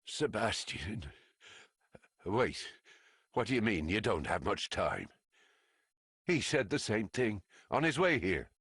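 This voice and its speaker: An anxious, very animated polite gentleman. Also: lowish voice, slow pace, pauses often, breathy edge, no echo, studio quality, heavily acted. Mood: shocked, regretful. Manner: courteous, hesitant, fretful. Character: polite, anxious gentleman worried for his missing companion. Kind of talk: dramatic video game voice acting. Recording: loud noise behind the voice.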